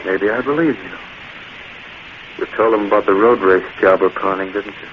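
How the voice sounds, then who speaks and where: A man's voice: lowish voice, fairly even intonation, moderate pace, dull audio, natural voice. An actor playing a cocky, menacing male gangster who talks in clipped, hard-boiled slang, in 1940s radio acting. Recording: thin hissy 1940s radio broadcast.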